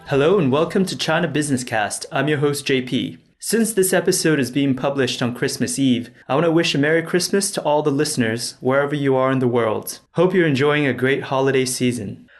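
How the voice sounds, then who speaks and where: A man's voice: mid-range voice, a little fast, hardly any pauses, faint music behind. A man speaking as a podcast host, introducing the show and putting questions to a guest. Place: sit-down interview in Shenzhen.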